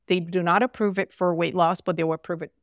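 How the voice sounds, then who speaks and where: A woman's voice: on the low side, steady tone, fast, no echo, clean studio sound. A middle-aged woman from Brazil, a physician guest speaking earnestly and emphatically in conversation, with frequent fillers. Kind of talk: conversational interview.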